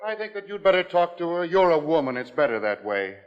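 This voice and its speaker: An actor playing an earnest, emphatic husband in a 1950s radio suspense drama, with deliberate phrasing. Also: male voice, a little high, sing-song, clear, resonant tone, in a large hall. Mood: solemn, procedural. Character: earnest, fair-minded husband presiding over his wife's fate. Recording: dull muffled 1950s radio recording.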